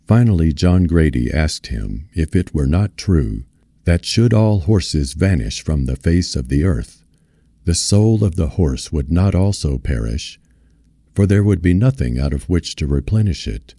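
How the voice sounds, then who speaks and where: A man reading literary fiction aloud as a narrator, in a measured, solemn manner. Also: extremely low pitch, lively intonation, dark tone, slightly rough, no echo, studio quality. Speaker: reader of a novel.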